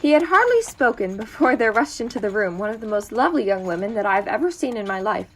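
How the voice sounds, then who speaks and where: A woman playing an earnest male companion who narrates an amateur audio drama in a measured, literary way. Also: medium pitch, very animated, a little hiss or hum. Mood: storytelling.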